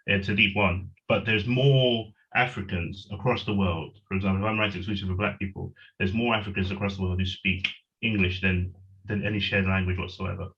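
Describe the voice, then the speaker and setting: Man, middle-aged, from Nigeria, writer on a panel, very low voice, lo-fi call sound. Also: steady tone, a little fast, fairly bright tone. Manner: rambling, self-correcting, pragmatic. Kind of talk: discussion, webinar. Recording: dull lo-fi call audio.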